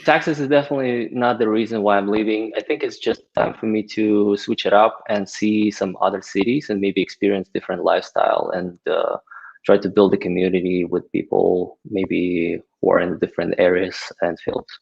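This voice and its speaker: Man in his thirties, gravelly and hesitant, muffled audio; podcast guest. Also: lowish voice, steady tone, normal speed, darkish tone. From Ukraine. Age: 30s. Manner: hesitant, many filler words. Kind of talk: casual interview answers. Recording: dull lo-fi audio.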